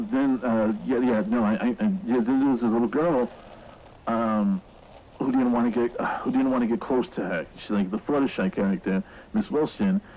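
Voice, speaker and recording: An actor playing a nervous, flustered mall escort giving halting, rambling witness testimony in an audio horror drama, on a lo-fi tape recording. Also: male voice, mid-range voice. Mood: disgusted, uneasy. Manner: halting, stammering, rambling, repeats himself. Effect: lo-fi tape recording filter. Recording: muffled, noisy lo-fi witness tape.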